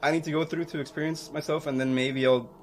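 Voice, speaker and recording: A man co-hosting a podcast in casual chat, halting and repeating himself as he searches for words. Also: fairly high pitch, fairly even intonation, soft background music. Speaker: podcast co-host.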